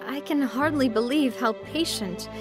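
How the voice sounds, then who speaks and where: A woman playing an earnest, resolute young female leader in a video game, speaking in a measured way. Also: sits high, music in the background. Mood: reflective. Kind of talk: dramatic video game voice acting.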